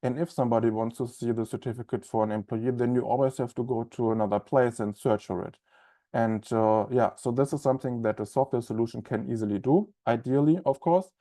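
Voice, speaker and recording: A man's voice: mid-range voice, fairly quick, warm tone. A young man with a German accent, presenting a webinar in a loose, conversational way with many ums and uhs. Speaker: onboarding specialist presenting a webinar.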